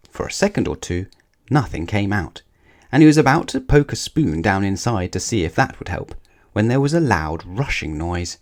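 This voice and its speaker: A man reading a scripted children's story aloud as the narrator. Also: on the low side.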